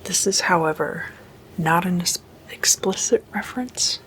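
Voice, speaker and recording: A woman doing whispered ASMR as a host, hushed and deliberate, mostly in breathy, unvoiced whisper. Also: lowish voice, a little hiss or hum, poor audio. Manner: slow, hushed, deliberate delivery.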